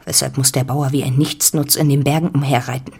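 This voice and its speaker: Breathy, stern farm mistress. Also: female voice, extremely low pitch, steady tone, a little fast, darkish tone, heavily acted. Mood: stern, imperious. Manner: stern, commanding, scornful. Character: hard, domineering rich farm woman, scheming and defensive. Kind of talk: literary German radio drama acting.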